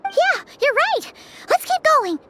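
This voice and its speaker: A woman voicing a small, cheerful, childlike companion creature in an anime game, squeaky and chirpy. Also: sing-song, slow, pushed and bright, heavily acted. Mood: eager, excited. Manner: exclaiming, chirpy. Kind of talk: anime game dub acting.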